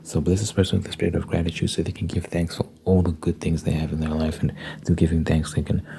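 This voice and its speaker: A man's voice: deep voice, monotone, fast talker, gravelly, mellow, a little hiss or hum. A man speaking in an informal ASMR religious style, earnest and conversational, with repeated phrases for emphasis. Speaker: ASMR Bible study host. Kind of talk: ASMR, informal religious talk ending in prayer.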